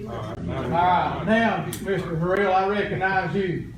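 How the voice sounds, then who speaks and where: A man's voice: high voice, slow pace, noisy background. A man with a Southern US accent chairing a local government meeting, moving the business along. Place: indoor public meeting room. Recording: echoey meeting room, far mic, crowd murmur.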